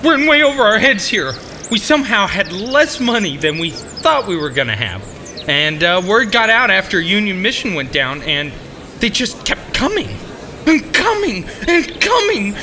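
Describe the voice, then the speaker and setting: A man's voice: sits high, big pitch swings, normal speed, sharp tone, a little hiss or hum, full character voice. A man playing a panicky, callous shelter schemer with a whiny, excuse-making manner in a comedic audio drama.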